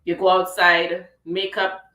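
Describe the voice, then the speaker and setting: A woman's voice: fairly low pitch, little pitch movement, unhurried, sharp tone, roomy sound. A woman from Trinidad with a Trinidadian accent, hosting a casual talk radio chat in a chatty, playful way. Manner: chatty, playful, laughs easily. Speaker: radio show host.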